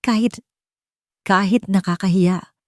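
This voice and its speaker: A woman's voice: medium pitch, takes it slowly, dry recording, studio quality, natural voice. Woman acting a hopeless, trembling, tearful mother. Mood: hopeless, pleading. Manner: hushed, trembling.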